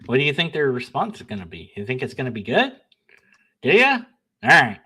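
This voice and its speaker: A man's voice: medium pitch, fairly quick, slightly rough. A young man hosting his own channel, reading out an email exchange with blunt, sarcastic, self-mocking commentary. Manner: animated, sarcastic, laughs at himself, bickers with himself; blunt, argumentative when reading his replies. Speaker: channel host. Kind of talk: casual solo commentary, reading emails aloud.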